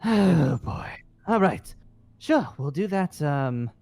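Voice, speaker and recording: A man's voice: fairly high pitch, big pitch swings, takes it slowly, creaky, a little mellow, faint music behind, full character voice. Man playing a haughty, swooping wizard leader. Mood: businesslike. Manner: affected formal flourishes, sighing sarcasm. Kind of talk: improvised fantasy roleplay acting.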